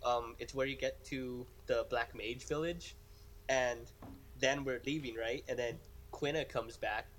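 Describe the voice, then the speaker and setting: A man's voice: mid-range voice, steady tone, measured pace, a bit bright. A young man in casual gaming chat as a podcast co-host, telling rambling stories and acting out quotes.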